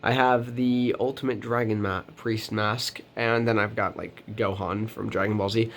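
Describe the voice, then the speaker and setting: A man's voice: fairly low pitch, steady tone, normal speed, gravelly, a bit bright, slight background noise. A teenage boy vlogging from his bedroom, nasal from a cold, rambling with lots of ums and restarts as he moves around the camera mic. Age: teens. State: nasal, getting over a cold. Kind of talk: casual vlog.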